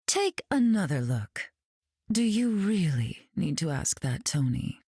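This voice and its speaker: A woman's voice: low-pitched, very animated, slow, a little mellow, breathy edge, no room sound, studio quality, exaggerated voice. An actress playing a glamorous, cutting mutant queen in a video game, arch, flirtatious and condescending in manner. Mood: flirtatious, smug.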